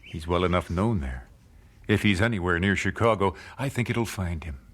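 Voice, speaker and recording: An actor playing an earnest nineteenth-century American gospel singer in a radio drama, speaking in a measured, solemn, dramatic way. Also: male voice, deep voice, animated, a bit bright, slight background noise. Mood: anguished, guilty. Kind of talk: radio drama narration and dialogue.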